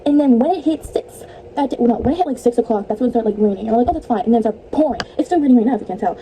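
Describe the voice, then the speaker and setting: A woman's voice: fairly high pitch, expressive, rapid-fire, mellow, a little hiss or hum, rough recording. Chatty young woman with a stuffy voice. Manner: chatty, rambling, laughs often. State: stuffy, tired voice. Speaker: vlogger. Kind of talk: casual movie review vlog.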